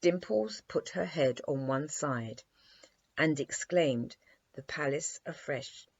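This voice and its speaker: A woman reading a children's bedtime story aloud in an animated, measured storytelling manner. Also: deep voice, slightly rough, dry recording. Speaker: storybook reader. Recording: dry, slightly dull lo-fi recording.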